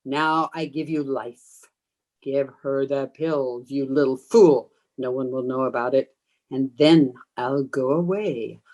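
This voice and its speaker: A woman's voice: a bass voice, darkish tone. A woman playing a menacing, commanding undead ghost hungry for blood, in old time radio horror style. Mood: coaxing, commanding. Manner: slow, commanding, taunting. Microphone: video call.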